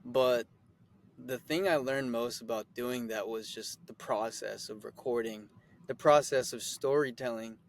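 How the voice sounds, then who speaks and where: A man's voice: mid-range voice, measured pace. Easygoing young man with faint background hum. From Philippines. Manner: easygoing and thankful, rambling with fillers. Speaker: guest actor. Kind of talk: casual interview answers.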